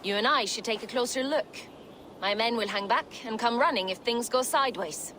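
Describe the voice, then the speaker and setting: A woman's voice: fairly high pitch, a little fast, a bit bright, a little hiss or hum, close to an everyday voice. An actress playing a cocky, commanding Viking warrior woman with a wry, teasing manner in a video game cutscene. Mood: wry, confident.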